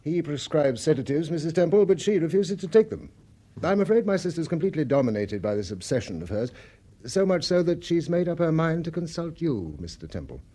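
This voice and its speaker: An actor playing an anxious, courteous financier in a radio drama, speaking earnest British English with polite hesitations. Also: male voice, a little high, very animated, brisk, dark tone. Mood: earnest, worried. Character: anxious, courteous financier worried about his highly strung sister.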